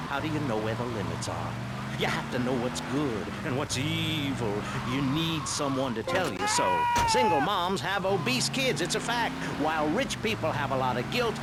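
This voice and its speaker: An actor playing a fanatical, greedy pastor preaching on satirical talk radio in a domineering, sanctimonious way. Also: male voice, a little high, close to an everyday voice. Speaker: pastor, radio show guest. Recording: loud traffic and engine noise behind.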